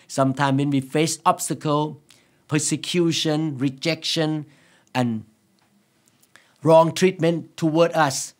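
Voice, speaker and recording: Higher-pitched Thai-accented pastor, a man preaching. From Thailand. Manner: earnest, emphatic, deliberate phrasing. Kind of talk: sermon to camera. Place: quiet indoor studio set. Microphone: lapel microphone.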